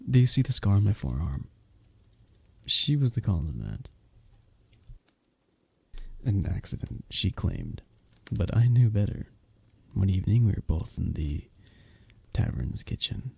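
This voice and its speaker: Man acting a husky, lively medieval innkeeper. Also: sits low, no room sound, exaggerated voice. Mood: wry storytelling. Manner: old-fashioned formal wording, teasing storyteller. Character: flirty, good-humoured male innkeeper of a medieval fantasy village. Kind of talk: scripted roleplay audio acting, intimate ASMR.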